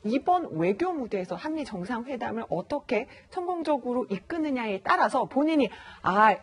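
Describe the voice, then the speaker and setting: A woman giving measured commentary as a panelist on a news show. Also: fairly high pitch, very animated, husky.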